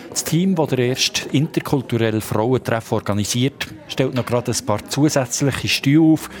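A man's voice: medium pitch, moderate pace. A man with a Swiss German accent narrating a scripted radio report as a reporter.

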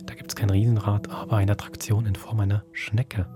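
A man hosting a podcast, speaking in a breathy, dramatic way as he introduces spooky episodes. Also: on the low side, little pitch movement, fairly quick. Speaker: podcast host. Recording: music behind the voice.

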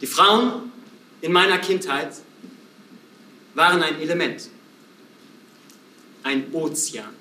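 An actor as a tender, reflective narrator, his voice fairly high and bright. He is recorded from a distance in a roomy theatre, with faint noise behind. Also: full character voice. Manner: slow, reflective recitation. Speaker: stage performer. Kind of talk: theatre recitation of a novel. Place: theatre stage.

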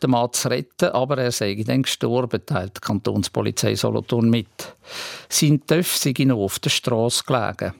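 A man's voice: medium pitch, gravelly, dry recording, clean studio sound. A man with a Swiss German accent presenting regional radio news from a script.

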